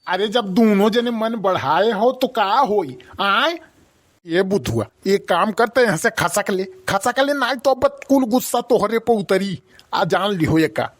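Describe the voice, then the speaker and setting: A man's voice: high-pitched, lively intonation, a little slow. A man voicing a scolding, sarcastic village father in a comic cartoon, with an Awadhi accent. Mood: angry scolding. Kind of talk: comic rustic cartoon dialogue.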